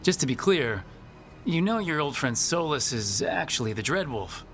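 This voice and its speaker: A man's voice: a little high, sing-song, normal speed, fairly bright tone, soft background music. A man playing an earnest young hero and party leader in a fantasy game, direct and plain-spoken. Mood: questioning.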